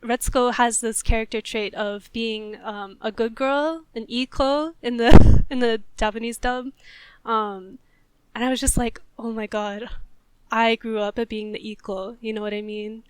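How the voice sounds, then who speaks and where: A woman with a fairly high, very clean and smooth voice speaks as a podcast host in a dry, close-sounding space. She talks conversationally and thoughtfully, working through her points in a casual analytical discussion. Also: steady tone, unhurried, darkish tone, no echo.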